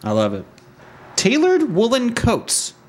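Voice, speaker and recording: A man's voice: very high voice, wildly swooping pitch, slow pace. A man casually chatting as a podcast host, joking, swearing freely and laughing often.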